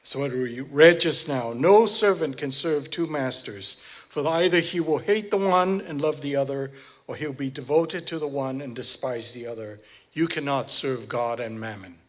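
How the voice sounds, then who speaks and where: A man's voice: mid-range voice, moderate pace. A man interpreting a sermon into English, phrase by phrase, often searching for words and correcting himself. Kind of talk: consecutive church interpreting.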